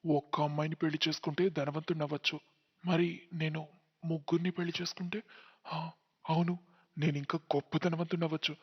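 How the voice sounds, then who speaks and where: Greedy young schemer for a cartoon story male actor, higher-set voice, narrow melody, smooth-talking. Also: level tone, quick pace, in a large hall. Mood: scheming, smug. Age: young adult. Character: greedy, cunning young man who lies to women. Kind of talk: cartoon moral story acting.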